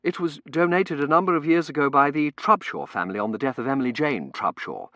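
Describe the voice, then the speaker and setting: Male narrator plays a fussy curate: earnest, pompous, formal, pitched high, audiobook. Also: animated, fairly quick, sharp tone, no room sound, muffled recording. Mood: earnest approval. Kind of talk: audiobook character voice.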